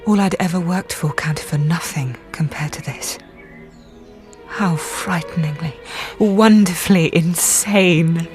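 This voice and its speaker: A woman's voice: on the low side, slow pace, over music. An actress playing an earnest, composed woman doctor with a British accent, speaking precisely in a radio drama. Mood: rapt. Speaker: radio drama actor, narrator character. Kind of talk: radio drama dialogue and first-person narration.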